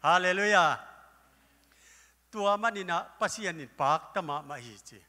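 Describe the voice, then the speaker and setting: An older man preaching a sermon at a lectern with a handheld microphone, breaking into loud exclamations now and then. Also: high voice, unhurried. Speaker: pastor. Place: church hall stage. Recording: live church hall with long reverb.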